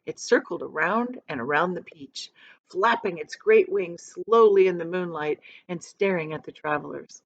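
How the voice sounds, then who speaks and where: A woman teacher reading a children's story aloud in a deliberate, dramatic manner. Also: on the low side, no room sound, dull audio. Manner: deliberate, dramatic, shows pictures to listeners.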